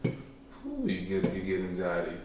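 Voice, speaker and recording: Podcast guest, young man, deep voice, easygoing and rambling, recorded in a boomy room. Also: huge pitch swings, measured pace, noisy background. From Chicago, South Side. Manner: laid-back, rambling, joking, trails off often. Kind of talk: casual conversational interview. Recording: echoey room, mic at a distance.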